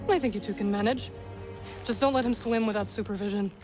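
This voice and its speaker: A woman playing a solemn, loyal young warrior survivor in a video game, with deliberate, formal phrasing. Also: medium pitch, natural voice. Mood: assured, dry. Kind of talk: cinematic video game acting. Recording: reverberant hall, music underneath.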